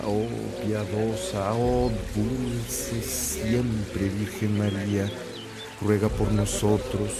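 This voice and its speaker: A devout, calm missionary priest in a Mexican radio play. The voice is fairly deep and very slow, measured and solemn, with music clearly behind it. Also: male voice. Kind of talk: radio drama acting.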